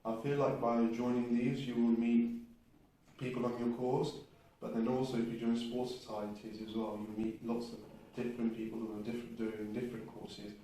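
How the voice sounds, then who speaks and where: A man's voice: medium pitch, level tone, normal speed, mellow. A young man with an English accent giving casual, loosely phrased, hesitant interview answers as a recent graduate. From England. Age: young adult. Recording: echoey, distant mic, faint noise.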